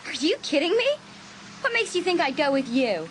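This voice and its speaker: A woman's voice: high-pitched, very animated, normal speed, background noise. An actress playing a bitter, anxious teenage girl in a dramatised horror audiobook. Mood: indignant, hurt.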